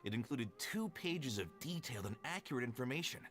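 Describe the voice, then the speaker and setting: Animated male scholar played by a man, helpful, articulate, explanatory, medium pitch, fantasy game dialogue, faint music. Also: brisk, lightly acted. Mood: eager, pleased. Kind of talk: video game character dialogue.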